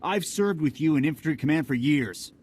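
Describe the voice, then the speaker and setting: An actor playing a loyal, indignant army officer in a video game, earnest and formal. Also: male voice, a little high, animated, fairly quick, a little mellow. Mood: indignant pleading. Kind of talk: video game cutscene acting.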